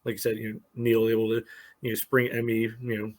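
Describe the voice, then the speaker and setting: A man's voice: fairly low pitch, completely flat delivery, a little fast. A man co-hosting a soccer podcast, giving casual game analysis peppered with filler phrases. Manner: peppers talk with "you know".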